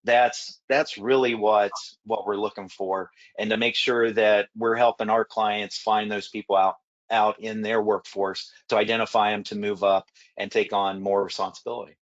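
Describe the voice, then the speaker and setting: A man working as a food safety consultant, a little raspy from a recent illness, answering panel questions and telling stories from his work. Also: lowish voice, level tone, moderate pace, lo-fi sound. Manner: storytelling, repeats words when restarting.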